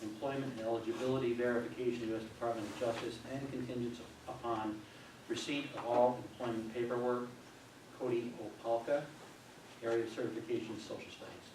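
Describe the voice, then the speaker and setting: A man's voice: mid-range voice, flat delivery, a little fast. A man who is a board member reads a formal motion aloud in a meeting, picked up from across the room. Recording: echoey room, distant microphone, faint noise.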